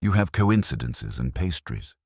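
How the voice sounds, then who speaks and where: A man playing a guarded, coolly evasive corporate consultant in an audiobook, speaking smoothly with careful, jargon-laden diction. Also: a bass voice, sing-song, normal speed, darkish tone, no echo, clean studio sound, heavily acted. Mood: cool defiance.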